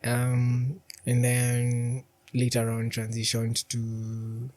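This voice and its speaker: A man's voice: medium pitch, monotone, extremely slow, darkish tone, no echo. A man with a Kenyan accent talking casually as a podcast guest, switching between languages as he goes. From Nairobi, Kenya. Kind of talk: casual chat, mixing in Swahili. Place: podcast studio.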